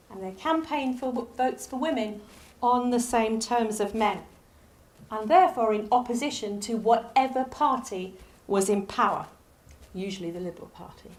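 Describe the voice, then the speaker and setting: A woman with a Northern English accent giving a public history lecture, animated, rising on key names and dropping low for asides. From Northern England. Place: lecture hall.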